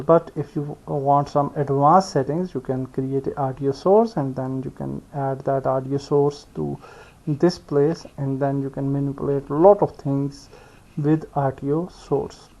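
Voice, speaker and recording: A man giving an unscripted step-by-step software tutorial, speaking haltingly and thinking aloud as he works. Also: medium pitch, fairly even intonation, gravelly. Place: indoors, screen-recording voice-over. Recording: dull lo-fi voice recording.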